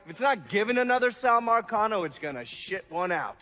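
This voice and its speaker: An actor playing a cynical, brash, foul-mouthed criminal, sardonic and blunt, in a cinematic game. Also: male voice, high-pitched, very animated, fairly quick, muffled recording. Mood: scornful.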